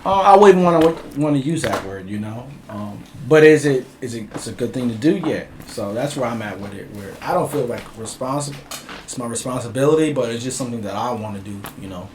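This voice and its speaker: A man's voice: mid-range voice, lively intonation, fairly quick, a little mellow. A man from Bridgeport, Connecticut, talking as a music producer guest in a laid-back, rambling interview, full of filler phrases. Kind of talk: casual interview chat. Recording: roomy echo, faint ambient noise.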